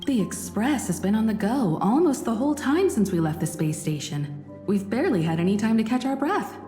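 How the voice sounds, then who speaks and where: An actress voicing a composed mature woman leading a crew, speaking calmly and evenly. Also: a little high, a little fast. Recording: echoey reverb with game music.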